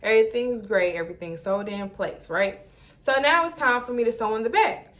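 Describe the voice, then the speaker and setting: High-voiced young woman telling a story. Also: fairly quick. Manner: animated, acts out her own reactions and quotes. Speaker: vlogger. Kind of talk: casual storytime chat to viewers.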